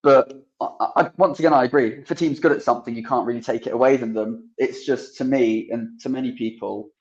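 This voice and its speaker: Male caller on a sports show, casual take, mid pitch, bit muffled lo-fi line. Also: steady tone, fairly quick. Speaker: phone-in caller. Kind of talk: casual opinion. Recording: slightly dull remote call audio.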